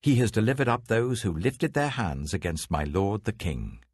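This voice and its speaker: A man's voice: lowish voice, big pitch swings, moderate pace, darkish tone, breathy edge, dry recording, pitched above the performer's own voice. A man voicing an eager young messenger who pleads and exclaims in a scripture reading. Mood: breathless excitement. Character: eager young male messenger bearing news to a king.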